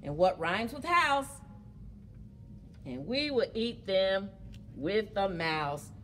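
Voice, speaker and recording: Very expressive woman reading to young children. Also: mid-range voice, takes it slowly. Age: middle-aged. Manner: animated, encouraging, speaks to young children. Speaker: children's librarian hosting story time. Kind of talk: children's story time, sing-along and read-aloud. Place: indoors among library bookshelves. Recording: clear background noise, room ambience.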